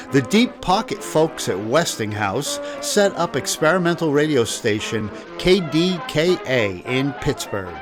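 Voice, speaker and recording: A man narrates a scripted history in a wry, conversational storytelling way. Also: mid-range voice. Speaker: podcast host. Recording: music playing behind the voice. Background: background music.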